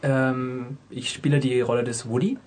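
A man's voice: medium pitch, little pitch movement, normal speed, a little mellow. A young man from North Rhine-Westphalia introducing himself casually as an interview guest. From North Rhine-Westphalia, Germany. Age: young adult. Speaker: interview guest, student musical performer.

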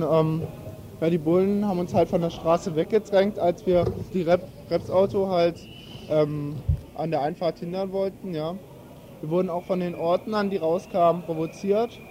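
A man giving a spontaneous, halting eyewitness account as a protester. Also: high-pitched, steady tone, warm tone, slight background noise. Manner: halting, groping for words.

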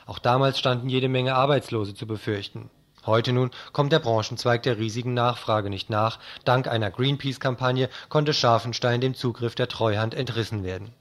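A man's voice: medium pitch, fairly even intonation, normal speed. A man reading a scripted radio news commentary in speech, as a reporter.

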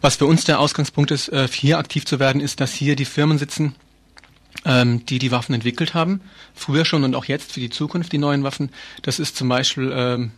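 A man's voice: medium pitch, fairly even intonation, brisk. A man explaining things informally in an interview, often rephrasing as he goes. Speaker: interviewee, arms-trade researcher and activist.

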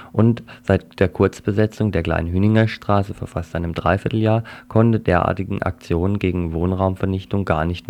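A man hosting radio news, reading items and interviewing in a measured way. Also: deep voice, vocal fry, a little mellow. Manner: measured, with short listening murmurs.

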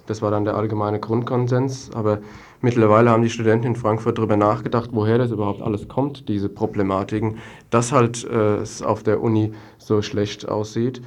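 A man's voice: fairly low pitch, flat delivery, brisk. A young man giving spontaneous interview answers as a student activist, hesitating and drawing out his phrases. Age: young adult.

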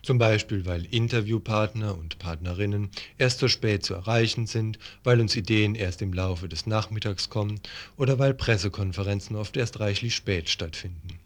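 Low-voiced male radio presenter. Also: normal speed. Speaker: radio news team presenter. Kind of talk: scripted radio promo addressed to listeners.

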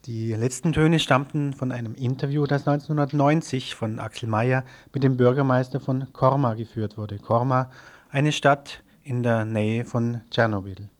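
Man presenting radio, medium pitch. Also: fairly even intonation, moderate pace. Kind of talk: scripted narration.

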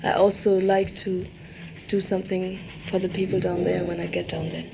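A woman's voice: on the low side, level tone, moderate pace, vocal fry, loud music behind the voice. A woman being interviewed informally as a peace marcher, searching for words and repeating phrases. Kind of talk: informal spoken interview in English. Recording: noisy location interview with ambient sound.

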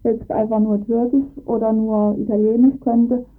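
A woman's voice: on the high side, little pitch movement, moderate pace, soft background music. A woman giving her views as a local resident in an informal radio discussion, explaining firmly and often tagging statements with a short confirming word. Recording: tinny narrowband archive radio recording.

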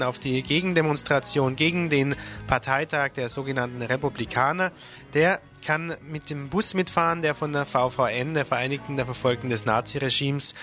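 A man on local radio reading out event listings in a relaxed, informal way. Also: medium pitch. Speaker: radio presenter.

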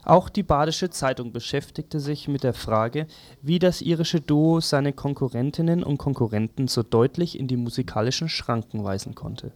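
A man's voice: medium pitch, normal speed, darkish tone, dry recording. A male morning radio presenter with a mid-range voice links a scripted press review. The sound is close and dry, with no room around it.

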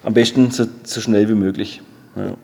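A man replying off the cuff as chair of a meeting, conciliatory and halting, often repeating words as he goes. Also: on the low side, fairly even intonation, gravelly, mellow. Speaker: mayor chairing a committee. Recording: echoey meeting hall.

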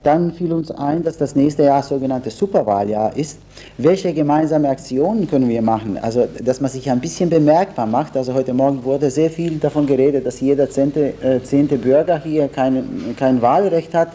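A man's voice: mid-range voice, moderate pace, dark tone. A man making a spontaneous contribution to a discussion, hesitant and searching for his words.

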